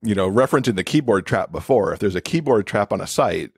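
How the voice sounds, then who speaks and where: A man speaks as an interview guest in a fairly low voice with lively, varied intonation. He explains his subject conversationally, using everyday examples, and the recording is close and dry. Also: quick pace, gravelly, breathy edge, no room sound. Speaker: podcast guest, accessibility expert and author.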